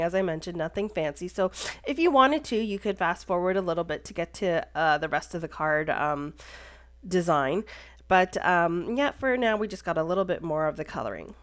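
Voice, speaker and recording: A woman's voice: lowish voice, fairly bright tone. Woman with a stuffy congested voice. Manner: chatty, with frequent "um" and self-corrections. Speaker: card-making craft tutorial host. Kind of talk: casual voice-over tutorial narration.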